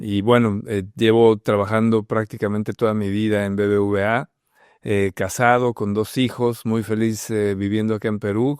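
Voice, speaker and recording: A middle-aged Mexican man speaks in a low, clean-toned voice with a Mexican Spanish accent. He answers in an unhurried, reflective way, leaning on soft fillers. The sound is dry and close. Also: smooth, no room sound. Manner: thoughtful, many fillers like "eh" and "pues". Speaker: guest, bank HR manager. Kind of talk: relaxed conversational interview.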